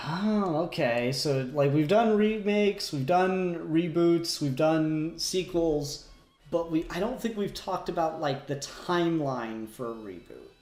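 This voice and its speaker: A man's voice: fairly high pitch, expressive, a little slow, darkish tone, faint music behind. A man hosting a casual comic book discussion podcast, informal and joking, with some swearing. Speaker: podcast host. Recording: roomy, echoey voice pickup.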